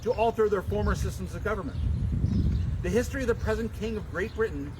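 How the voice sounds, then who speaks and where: A man giving a dramatic, declamatory public reading of a historical document in deliberate oratory, outdoors. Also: sits high. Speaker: public reader at a commemoration. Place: outdoors at a memorial site. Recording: noisy outdoor live stream, traffic.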